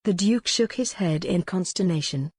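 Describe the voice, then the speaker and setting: Dry, lowish female audiobook narrator. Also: moderate pace, darkish tone, breathy edge, dry recording. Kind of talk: scripted historical romance narration.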